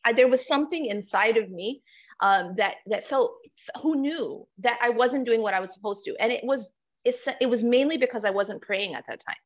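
A woman's voice: on the high side, normal speed. A young American woman telling a personal story as an interview guest, reflective and full of restarts and repeated words. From United States. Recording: slightly muffled podcast audio.

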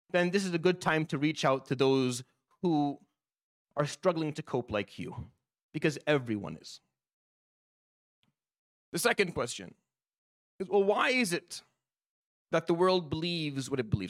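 A man's voice: fairly high pitch, fairly bright tone, no room sound, clean studio sound. A man delivering a Friday sermon as imam, impassioned and repeating his points. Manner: impassioned, repetitive.

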